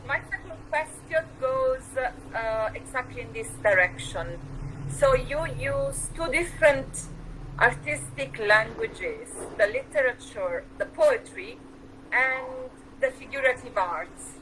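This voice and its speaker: A woman's voice: high voice, lively intonation, slow, fairly bright tone, slight background noise, rough recording. A woman host with an Italian accent, asking questions over a video call, enthusiastic and full of restarts. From Switzerland. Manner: enthusiastic, with many uhs and restarts. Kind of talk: interview questions.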